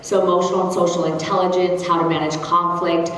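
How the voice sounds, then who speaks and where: A woman founder introducing her company on a panel, crisp and confident, recorded far off in a large hall. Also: fairly low pitch, flat delivery, fast, a bit bright, a little hiss or hum. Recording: roomy hall sound from far off.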